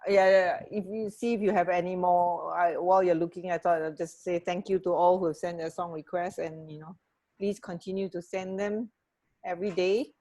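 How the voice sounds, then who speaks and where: A woman's voice: lowish voice, steady tone, fairly quick, mellow, rough recording. A middle-aged woman co-hosting a radio show in casual, giggly chat over a video call from home. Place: at home, indoor room. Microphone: wired earphones on a video call.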